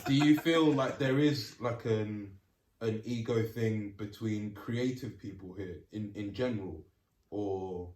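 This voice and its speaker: A man's voice: lowish voice, takes it slowly, a little mellow, far from the mic, a little hiss or hum. A man co-interviewing in a casual group chat, asking long, rambling questions. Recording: roomy and off-mic.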